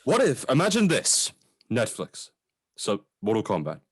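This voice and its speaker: A man's voice: mid-range voice, wildly swooping pitch, measured pace, slightly rough, no echo, studio quality. A man co-hosting a casual film chat, talking in an enthusiastic, effusive way. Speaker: podcast co-host.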